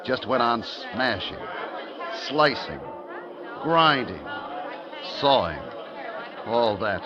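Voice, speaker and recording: Anxious market clerk, brooding and hesitant, very animated intonation, vintage radio thriller, loud music behind. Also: male voice, medium pitch, extremely slow, over a noisy crowd. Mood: brooding recollection. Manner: hesitant, colloquial, brooding first-person telling. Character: Harry, nervous market clerk narrating his own downfall. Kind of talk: 1950s radio thriller acting. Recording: muffled 1950s radio recording, loud music.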